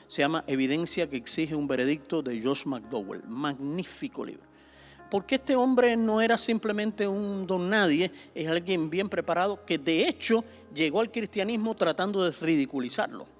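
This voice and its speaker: Cuban pastor teaching in a seminar. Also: male voice, a little high, expressive, normal speed, faint music behind. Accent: Cuban Spanish. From Cuba. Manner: conversational, with many fillers and repetitions; rises sharply when stressing a point.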